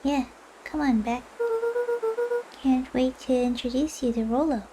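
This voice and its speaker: Young boy, played by a woman: high, mellow, unhurried, earnest and a bit anxious. Game dialogue. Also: steady tone, slight background noise, poor audio. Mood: relieved. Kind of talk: video game character dialogue read aloud.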